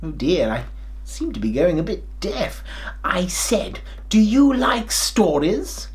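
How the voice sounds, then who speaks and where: A middle-aged man playing a kindly, jolly, forgetful old grandad king, telling a children's story in an expressive, theatrical way. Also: high-pitched, slow. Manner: theatrical, expressive, with hammed-up hesitations and chuckles.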